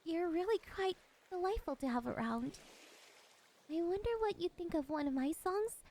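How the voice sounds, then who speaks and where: A woman playing a lonely, possessive siren in an ASMR roleplay, speaking in a wistful, gently coaxing and politely menacing way. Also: very high-pitched, animated, moderate pace, slight background noise. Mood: entranced, coaxing. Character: lonely, possessive female siren, curious and soft-spoken. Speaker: voice actress.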